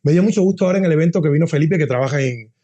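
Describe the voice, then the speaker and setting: A man's voice: a little high, racing, dark tone, highs cut off. A middle-aged man, a university dean, talking earnestly in a casual interview and often restarting his phrases.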